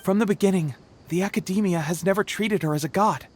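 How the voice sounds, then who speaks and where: A man's voice: high-pitched, quick pace, a little mellow, a little hiss or hum, natural voice. An actor voicing an earnest young male scholar in a video game, explaining events in formal sentences.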